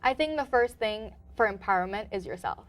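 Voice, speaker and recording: A woman in her early twenties, from Guyana, speaks as a guest in an interview. Her pitch is average for a woman, and she explains her thoughts in an earnest, conversational manner. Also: animated, fairly quick. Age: early 20s. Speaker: interview guest, pageant titleholder.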